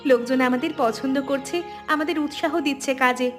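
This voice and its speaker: A woman's voice: sits high, fairly even intonation, brisk, lightly acted. A woman playing wronged young village sisters-in-law in a cartoon story, speaking in an earnest, plaintive way. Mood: calm, resolute. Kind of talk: Bengali cartoon story dialogue. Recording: music behind the voice.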